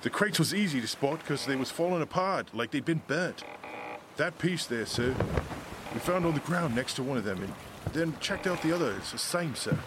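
An actor playing a nervous, deferential common sailor in an audio drama, speaking hesitantly in rough, unschooled grammar. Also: male voice, fairly high pitch, animated, normal speed, noisy background, natural voice. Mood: uneasy, deferential.

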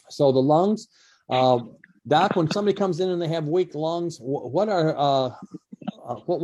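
Mellow-voiced man hosting radio. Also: medium pitch, muffled recording. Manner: rambling, many fillers and restarts. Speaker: radio show co-host. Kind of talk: casual radio health talk. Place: radio studio.

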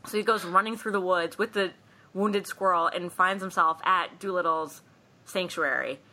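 A woman's voice: lowish voice, normal speed, sharp tone. A woman co-hosting a podcast, retelling a film plot in a casual, wry way with mimicked asides. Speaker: podcast co-host.

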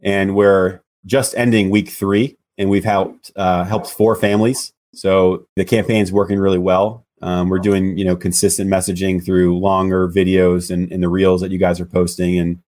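A lower-pitched man with a naturally loud voice talks as a podcast guest. He speaks in a relaxed, easygoing way, often pausing and filling gaps with "you know.". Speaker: guest, loan officer. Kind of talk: casual interview chat.

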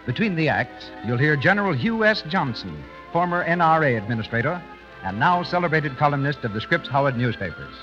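An animated American man works as a 1930s radio announcer, selling with polished, formal phrasing and lively swings of pitch. The sound is a dull old broadcast with music clearly behind him. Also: fairly quick.

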